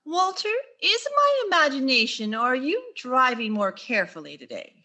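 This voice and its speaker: A woman playing a wry, quick-witted schoolteacher in an old-time radio sitcom style, with dry sarcastic delivery. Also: high-pitched, very animated, sharp tone, faint music behind, exaggerated voice.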